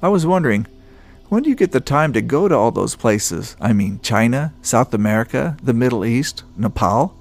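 A man's voice: mid-range voice, expressive, moderate pace, soft background music. A man voicing a talkative, joking male office coworker. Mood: curious.

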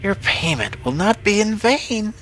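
A man voicing a sly, vengeful old witch in a storybook reading, with a slow, crooning delivery. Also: high voice, big pitch swings, fairly bright tone, pitched above the performer's own voice, heavily acted, poor audio. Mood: gloating. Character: menacing old witch, slyly pleased, vengeful.

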